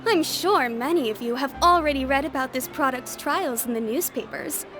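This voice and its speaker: A woman voicing an upbeat female event presenter in a video game, speaking in a bright, formal announcer manner. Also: high-pitched, normal speed, natural voice. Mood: enthusiastic. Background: game music.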